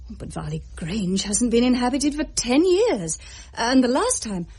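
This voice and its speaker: An actress playing an anxious, well-bred lady in a period audio drama, husky, genteel and emotive. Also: a little high, sing-song, unhurried, warm tone, heavily acted. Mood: alarmed.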